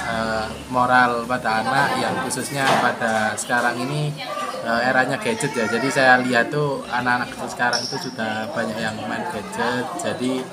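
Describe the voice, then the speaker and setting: A man answering in an interview, hesitant and pausing between phrases. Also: medium pitch, little pitch movement. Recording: very loud crowd behind.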